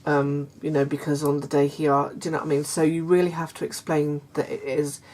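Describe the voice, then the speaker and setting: A middle-aged woman, chatting casually to her viewers in a croaky voice, hesitant with many fillers and restarts. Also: sits low, level tone, a little fast. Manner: warm confiding tone toward viewers. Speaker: vlogger. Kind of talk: casual chat to camera.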